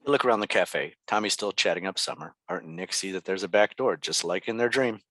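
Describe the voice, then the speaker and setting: A man's voice: deep voice, fast talker, a bit bright, dry recording. A man reading stage directions as scripted narration at a table read.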